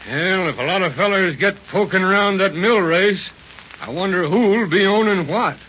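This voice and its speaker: An actor playing a cautious, folksy frontier mill hand in a 1930s radio drama. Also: male voice, high-pitched, moderate pace, sharp tone. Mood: wary, curious. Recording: muffled, hissy 1930s radio recording.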